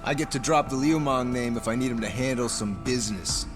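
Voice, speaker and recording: A man's voice: medium pitch, a little fast, over music, lightly acted. A man playing a smug, ambitious teenage delinquent in a video game dub, boastful and slangy. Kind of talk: video game cutscene English dub acting.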